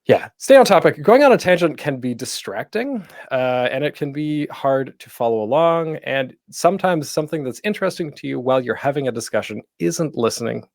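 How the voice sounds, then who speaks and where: A man's voice: medium pitch, lively intonation, brisk, hardly any pauses, dry recording, clean studio sound. A man presenting an instructional panel in a casual, informal way, often breaking off into asides. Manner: informal, self-interrupting, tangential asides.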